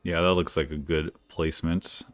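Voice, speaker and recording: A man streaming a game live, chatting casually with viewers, with a smooth radio-like voice and a slightly stuffy nose from allergies. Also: very deep voice, normal speed, soft background music. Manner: relaxed, chatty, greets viewers by name. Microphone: decent studio microphone.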